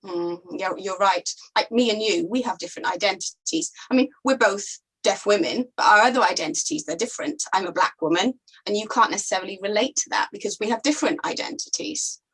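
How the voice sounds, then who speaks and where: A woman giving voice to a deaf interviewer, speaking thoughtfully with unhurried pauses. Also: mid-range voice, a bit bright, breathy edge, poor audio.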